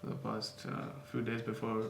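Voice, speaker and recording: A man hosting a podcast, talking in a reflective, measured way with pauses. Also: on the low side, completely flat delivery, vocal fry. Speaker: podcast host. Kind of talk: casual podcast conversation. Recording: roomy echo, faint noise.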